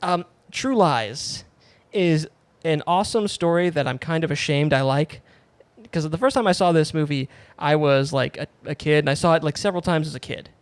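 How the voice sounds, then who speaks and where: Male podcast host, slightly higher voice, loose rambling delivery, dry close-miked sound. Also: moderate pace, clean tone, no echo. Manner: rambling, lots of "like" fillers, swears casually. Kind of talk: casual podcast chat.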